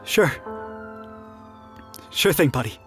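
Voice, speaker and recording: A man plays a protective young friend in a radio drama, full of urgent emotion. The voice sits fairly high and moves up and down a great deal, with music behind it. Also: animated, slow pace. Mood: urgent, comforting. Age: young adult. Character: loyal young male friend, anxious and protective. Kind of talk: student radio drama acting.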